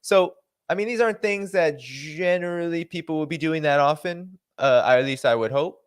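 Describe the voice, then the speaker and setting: A man's voice: on the high side, moderate pace, smooth, no room sound. A man with a Boston accent hosts a livestream, reading long documents aloud and breaking in with dry, sarcastic asides. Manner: dry sarcastic asides between read-out passages. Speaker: show host.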